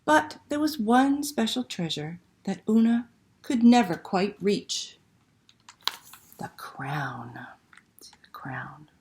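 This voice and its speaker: A woman's voice: medium pitch, a little mellow. A woman hosting a library story time, reading aloud and talking to young children with simple questions and prompts.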